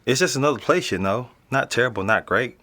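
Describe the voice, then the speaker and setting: A man's voice: mid-range voice, fairly quick, sharp tone, natural voice. A young man with an American accent playing a casual, slangy, streetwise teenage boy being interviewed in a naturalistic audio drama. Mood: offhand. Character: nonchalant streetwise teenage boy living in an apartment building.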